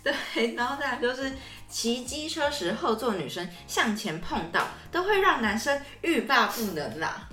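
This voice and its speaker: A woman's voice: a little high, sing-song, normal speed, few pauses, sharp tone. Young taiwanese woman co-hosting a chatty podcast, very up-and-down intonation, echoey room. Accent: Taiwanese Mandarin. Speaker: podcast co-host. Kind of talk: casual podcast chat. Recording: roomy sound with music behind.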